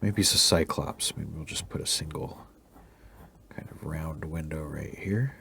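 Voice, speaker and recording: A man with a raspy voice, fighting off a cold, talking softly through a drawing tutorial as he sketches and thinks aloud. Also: a bass voice, measured pace, background noise. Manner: soft-spoken, thinking aloud while sketching, sometimes half-whispered. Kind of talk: relaxed drawing tutorial commentary.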